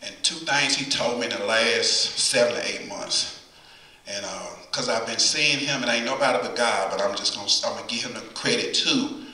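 A man's voice: mid-range voice, normal speed, sharp tone. A middle-aged man preaching a conversational sermon from the pulpit, folksy and repeating phrases for emphasis. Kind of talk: conversational sermon with call and response. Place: church sanctuary at the pulpit. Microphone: far camera, pulpit mic. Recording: echoey church from far camera.

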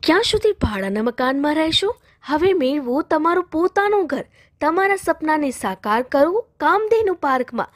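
Brief: female ad voice-over, high pitch, dry studio sound. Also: sing-song, normal speed, no room sound. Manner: clear, persuasive announcing. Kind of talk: scripted advertisement.

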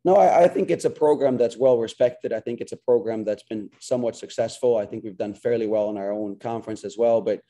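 Dark-voiced coach, earnest and halting. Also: male voice, mid-range voice. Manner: earnest, repeats words when starting sentences. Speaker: head soccer coach. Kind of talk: post-game press conference answers. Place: small locker room, indoors. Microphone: video call webcam.

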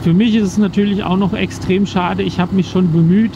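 A man's voice: sits high, fairly quick, vocal fry. German man talking from his motorcycle. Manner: relaxed, rambling with many fillers. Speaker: motovlogger reviewing action cameras. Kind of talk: casual vlog explanation. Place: riding a motorcycle outdoors on country roads. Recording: muffled helmet mic with wind and engine noise.

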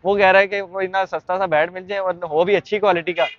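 A man's voice: high-pitched, fairly even intonation, quick pace, no room sound, muffled recording. A young man with a Pakistani Urdu accent, chattily guiding viewers through a sports market and shop. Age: young adult. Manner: chatty guide, frequent fillers and restarts. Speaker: vlogger. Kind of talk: walking market tour vlog.

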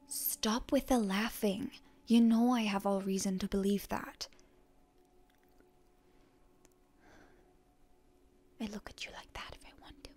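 A woman playing a proud, prickly, wounded hero girl in a whispered ASMR roleplay, suspicious and defiant toward her enemy, with a hushed, breathy voice. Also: mid-range voice.

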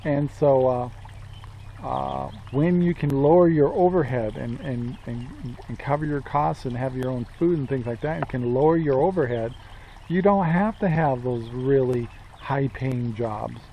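A man's voice: mid-range voice, expressive, measured pace, lo-fi sound, a little hiss or hum. A man in his sixties talking casually to camera outdoors in his yard, rambling with many ums and asking and answering his own questions. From Central Oregon, USA. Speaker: homestead vlogger. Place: outdoors in a sunny yard with trees. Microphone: camera close in front, selfie framing.

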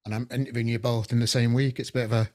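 A man in his forties chats easily as a podcast host, often stumbling and restarting his sentences. His voice sits at an ordinary middle pitch. He speaks into a boom mic on a headset from a room at home, over a video call. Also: little pitch movement, darkish tone. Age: 40s. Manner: chatty, halting, many restarts. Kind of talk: casual interview chat.

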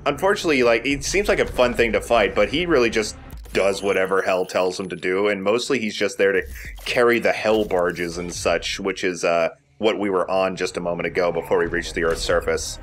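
A man's voice: low voice, a little fast, a bit bright. A man giving casual game commentary as a let's play commentator. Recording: game noise behind voice. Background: game ambience.

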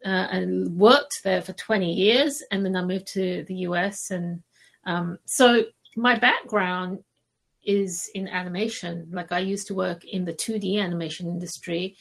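A woman from Malaysia speaking as a podcast guest, telling stories casually with hesitant, conversational pauses. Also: on the low side, fairly even intonation, vocal fry. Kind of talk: casual interview storytelling.

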